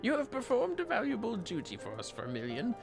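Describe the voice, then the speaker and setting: A man's voice: very high-pitched, wildly swooping pitch, fairly quick, creaky, pitched above the performer's own voice, full character voice. A man voicing a gracious fantasy game quest-giver who speaks formally and courteously. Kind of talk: reading game dialogue in character. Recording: music behind the voice.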